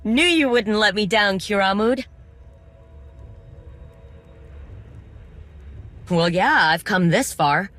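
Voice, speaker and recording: An actress playing a determined, wry droid mechanic in a video game, speaking informally with coaxing, teasing encouragement. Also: female voice, mid-range voice, animated, moderate pace, fairly bright tone, close to an everyday voice. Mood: earnest, determined.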